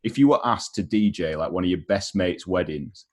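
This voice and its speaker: A British man with a fairly low voice hosts an informal chat interview. He puts questions in an easygoing, slangy style. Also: moderate pace.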